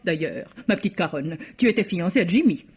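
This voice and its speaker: A woman's voice: on the low side, animated, a little fast, breathy edge. An actress playing a theatrical, effusive middle-aged society mother in an old French radio drama. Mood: exasperated, tactless. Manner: effusive, affected, exclaiming. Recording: dull vintage radio recording.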